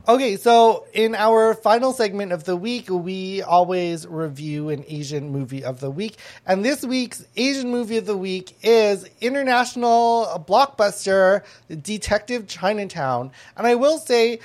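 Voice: mid-range voice, unhurried, few pauses. A podcast host talking casually with a panel, full of fillers and restarts. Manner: chatty, many fillers and restarts.

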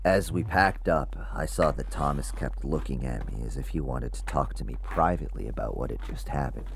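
A deep-voiced, troubled ghost investigator. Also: male voice, creaky, background noise. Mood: brooding, uneasy. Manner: halting, hesitant, uneasy. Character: anxious, troubled male ghost investigator and podcaster. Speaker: narrator. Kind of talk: confessional first-person audio drama narration and dialogue.

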